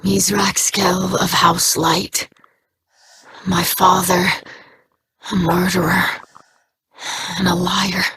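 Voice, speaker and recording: A woman's voice: lowish voice, level tone, slow, long pauses, background noise. An actress playing an earnest young female alien scholar who speaks in stiff, precise, formal diction. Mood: hurt.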